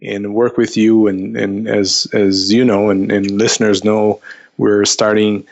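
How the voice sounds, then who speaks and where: A man answering conversationally as an interview guest, haltingly, repeating words as he goes, on slightly lo-fi audio. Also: fairly low pitch, flat delivery, vocal fry. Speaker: investment counselor, podcast guest.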